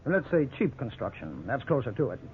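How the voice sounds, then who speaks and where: An actor playing a thorough, matter-of-fact house inspector in an old radio drama, frank and deliberate. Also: male voice, mid-range voice, big pitch swings, close to an everyday voice. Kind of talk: 1950s radio drama acting. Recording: tinny, noisy old radio broadcast.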